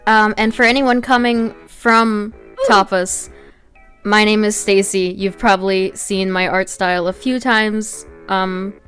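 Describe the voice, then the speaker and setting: Woman with a medium pitch voice just casually talking thru her art, bit of music behind. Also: fairly even intonation, a little slow, a bit bright, smooth. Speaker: artist narrating own speedpaint. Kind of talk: casual chat commentary. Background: background music.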